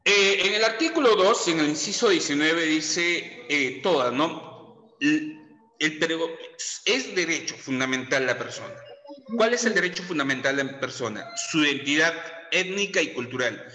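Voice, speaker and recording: A man's voice: on the high side, animated, moderate pace, sharp tone. Middle-aged Peruvian male teacher, chatty lecture, reverberant classroom sound. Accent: Peruvian Spanish. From Peru. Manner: chatty, lots of tag questions and fillers. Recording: echoey room with long reverb.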